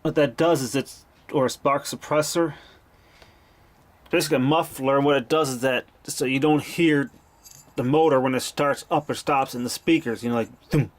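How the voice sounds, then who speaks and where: A man giving a casual, off-the-cuff repair narration as a hobbyist, plain-spoken and informal with some mild swearing. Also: medium pitch. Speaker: hobbyist repairer of vintage tape machines. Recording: clearly noisy amateur video recording.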